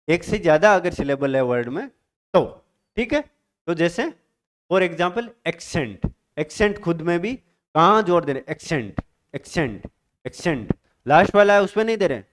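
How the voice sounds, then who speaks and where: A male teacher giving an exam-prep literature lecture, explaining step by step, reading definitions slowly and checking in with his students. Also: high voice. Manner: reads English definitions slowly.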